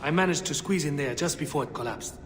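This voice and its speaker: An actor playing an unflustered labourer of ancient Egypt in a video game. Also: male voice, a little high, quick pace, a bit bright, long reverb tail, a little hiss or hum, close to an everyday voice. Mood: relieved. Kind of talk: video game drama acting.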